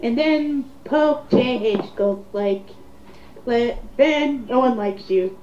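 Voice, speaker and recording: A woman's voice: on the high side, slow pace, darkish tone, slight background noise. A woman reading online comments aloud in a sarcastic, theatrical way, with mocking commentary. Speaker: host of a dramatic reading. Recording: roomy, muffled home recording.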